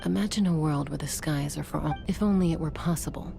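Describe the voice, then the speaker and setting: A cool gunslinger woman with a lowish voice. She is brisk, wry and resolute, a no-nonsense video game heroine, with music clearly behind her.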